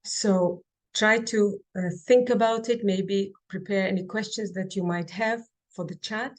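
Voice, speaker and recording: A woman giving a webinar presentation over a video call. She speaks haltingly and fills pauses with many ums and restarts. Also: mid-range voice, fairly even intonation. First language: Kazakh. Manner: halting, with frequent fillers and restarts. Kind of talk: online presentation and Q&A.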